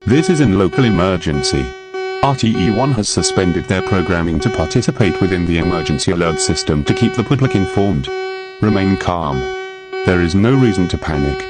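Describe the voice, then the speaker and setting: A man's voice: sits low, lively intonation, a little fast, loud music behind the voice. A man's stiff, synthetic-sounding voice reading a formal scripted emergency broadcast announcement.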